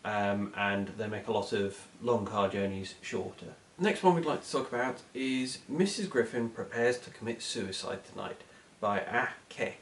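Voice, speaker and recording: A man co-hosting a casual book chat, giving recommendations in a hesitant, measured and thoughtful way. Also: fairly low pitch, lively intonation, slight background noise. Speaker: book reviewer co-hosting short fiction recommendations. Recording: echoey room, distant from mic.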